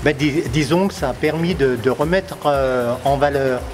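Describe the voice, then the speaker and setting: A man's voice: a little high, moderate pace. A local man informally explaining things, hesitating and repeating himself. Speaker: local resident. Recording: music playing clearly behind.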